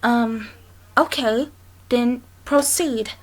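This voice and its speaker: A woman playing a calm, polite hospital staffer in an audio roleplay, speaking in a measured, hesitant way. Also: on the high side. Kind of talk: dramatic ASMR roleplay acting.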